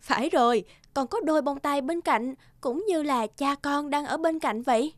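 A woman playing a caring, gently firm young mother in a children's cartoon. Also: high voice, normal speed, no echo, lightly acted. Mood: tender.

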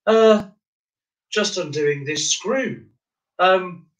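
A man's voice: high-pitched, recorded from a distance, pitched above the performer's own voice, poor audio. A man voicing a curious, mischievous small boy from a storybook, speaking in a hesitant, sheepish way. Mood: sheepish, unsure. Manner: hesitant, halting.

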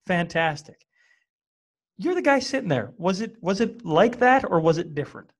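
A man's voice: sits high, big pitch swings, moderate pace. A man in his thirties from small-town Western Canada, hosting a podcast at a studio microphone, eager and casual as he asks long winding questions. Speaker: podcast host. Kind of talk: casual interview. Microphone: studio microphone with earbuds.

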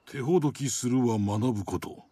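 An actor playing a stern, calm older samurai sword master in a game, solemn, measured and commanding. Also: male voice, mid-range voice, slightly rough, no room sound, close to an everyday voice. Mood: stern, instructive. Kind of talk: samurai game drama acting.

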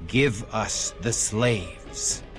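A brooding knight in a fantasy game. The voice is on the low side and measured, giving archaic lines with solemn defiance while music plays behind. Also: male voice. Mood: stern. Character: brooding, earnest crusader knight turned vampire. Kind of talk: archaic video game acting.

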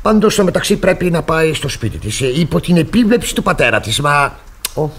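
Husky, pompous, excitable pastor. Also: male voice, on the high side, exaggerated voice. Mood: flustered. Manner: pompous, sermonising, flustered. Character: stern, moralising, credulous, fussy male pastor. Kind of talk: radio theatre acting.

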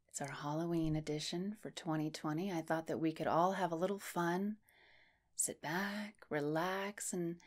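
A woman in her thirties speaking as a hypnotherapist in a soothing ASMR guided hypnosis, soft and breathy, often slipping into a whisper, close to the mic. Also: lowish voice. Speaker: hypnotherapist, host. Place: small dim indoor room, close up. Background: soft music.

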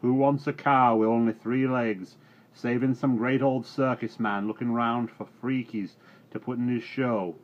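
A man voicing an angry, grasping farmer who shouts and scolds. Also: medium pitch, steady tone, a little slow, pitched above the performer's own voice, close to an everyday voice. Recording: dull recording, faint hiss.

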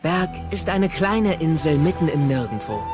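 High-voiced, wry young Viking played by a man. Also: lively intonation, normal speed, a little mellow, lightly acted. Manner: wry, self-deprecating. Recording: voice over music bed.

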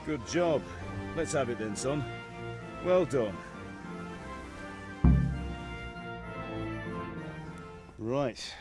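A middle-aged village smith and father in a cinematic game. He speaks firmly and with measured weight, stern and principled, over faint music. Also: medium pitch, animated. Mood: businesslike. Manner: measured, firm, admonishing. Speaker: village swordsmith.

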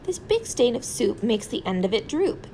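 A woman voicing an exasperated, scolding mother in a storybook, with emphatic drawn-out words. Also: a little high, sing-song, dark tone, slight background noise.

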